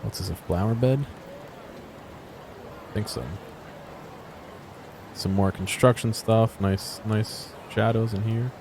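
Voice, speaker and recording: A man's voice: on the low side, steady tone, slow pace, pauses often, background noise. A man giving casual, unscripted video game exploration commentary, musing aloud and asking himself questions.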